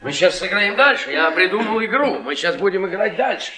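An actor playing a bitter middle-aged husband, sardonic and volatile, in a radio play. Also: male voice, high voice, expressive, fast, a loud crowd. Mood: manic sarcasm. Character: bitter middle-aged husband, sarcastic, near breaking point. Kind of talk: stage-style radio play acting. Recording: echoey old radio play, crowded background sound.

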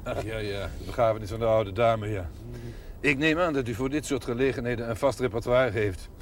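A man's voice: medium pitch, a little fast, close to an everyday voice. Dry, sardonic country doctor played by a man. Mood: teasing, wry. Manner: wry, articulate, formal. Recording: television drama with faint hiss.

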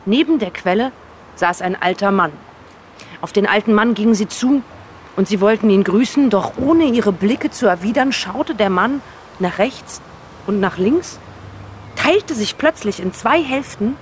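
With light noise around her, an actress plays a woman telling an eerie fairy tale aloud. Her mid-pitched voice is projected and emphatic, in a naturalistic radio play. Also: expressive, unhurried, natural voice. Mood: dramatic, emphatic. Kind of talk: spoken fairy-tale narration, outdoor encounter. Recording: noisy location recording with ambient hum.

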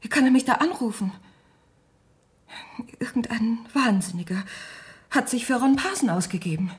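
A woman performs an anxious wife in a radio crime drama. Her voice sits somewhat high and turns pleading and insistent, with hushed, fearful tones. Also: expressive, moderate pace, lightly acted. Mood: agitated, reasoning. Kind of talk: German radio drama acting.

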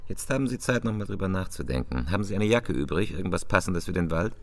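An actor playing a cool, calculating criminal on the run in a radio play, speaking tersely and with control. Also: male voice, low voice, lively intonation, fast, gravelly. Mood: cold, commanding. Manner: terse, controlled, matter-of-fact.

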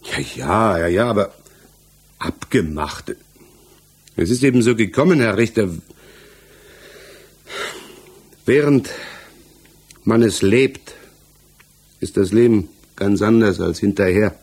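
A man acting a troubled, evasive defendant in a 1960s German radio crime drama, halting and searching for words as he defends himself. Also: lowish voice, lively intonation, darkish tone, breathy edge, exaggerated voice. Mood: uneasy, earnest. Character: troubled male defendant, married father, haunted and evasive. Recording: 1960s mono radio production.

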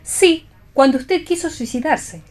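A woman's voice: sits high, measured pace, darkish tone. A woman acting a proud, possessive rival back from death in a play reading for radio, assertive and declamatory.

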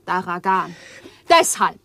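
An actress playing Eva, an overwrought and bitter young woman, in a radio play, speaking with impassioned swings from hushed to loud. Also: fairly low pitch, sing-song, slow, vocal fry, full character voice. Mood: intense. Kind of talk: 1980s radio drama acting.

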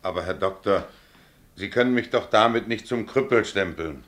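An actor playing a stubborn, indignant tram driver in a German radio play, protesting bluntly and colloquially. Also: male voice, lowish voice, normal speed, fairly bright tone, lightly acted.

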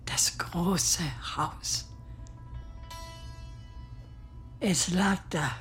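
Slow-spoken grieving woman survivor, played by an actress. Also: medium pitch, natural voice. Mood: bleak, subdued. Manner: measured, halting, often dropping into a breathy near-whisper. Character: grieving woman, war survivor confiding her guilt and secret love. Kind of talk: dramatic first-person radio play monologue.

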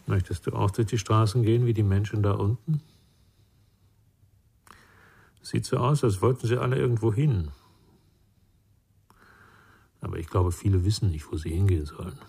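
An actor playing a calm, serious confidant in a radio crime drama, speaking in a measured, questioning way with a husky, gravelly voice. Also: male voice, on the low side. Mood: gentle.

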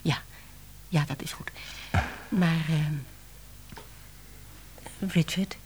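A woman's voice: sits low, fairly even intonation, drawn-out words, darkish tone, a little hiss or hum, heavily acted. An actress playing a composed, dry-witted female publishing manager in a radio drama, speaking in a restrained, terse way. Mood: apologetic. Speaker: actor in a radio play. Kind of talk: Dutch radio drama acting.

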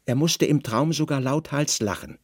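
A man narrating a literary radio play in measured, expressive, weighted phrases. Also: mid-range voice, breathy edge, dry recording, studio quality. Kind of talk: literary scripted narration, dramatic audio drama delivery.

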